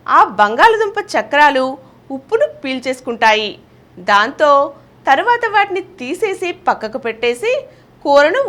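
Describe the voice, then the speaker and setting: A woman's voice: high-pitched, fairly bright tone. A woman presenting household and beauty tips in scripted narration, speaking to viewers in a friendly way with rhetorical questions.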